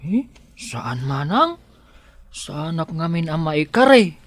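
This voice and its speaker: Audio drama role: actor playing devoted, bashful male servant, Ilocano accent, very slow delivery, swooping pitch. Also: sing-song, no echo. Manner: bashful, hesitant. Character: shy, devoted male servant secretly in love with employer.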